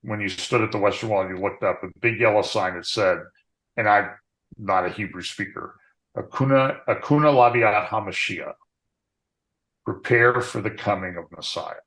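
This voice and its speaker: A man talking as a guest in a relaxed, plainspoken way. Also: lowish voice, moderate pace, poor audio. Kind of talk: casual conversation.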